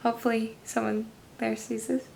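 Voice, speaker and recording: A young woman with a raspy voice, answering viewer questions casually in her room, tired and hesitant with a self-deprecating manner. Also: fairly high pitch, flat delivery, normal speed, a little mellow, slight background noise. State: tired with a headache. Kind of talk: casual Q&A chat.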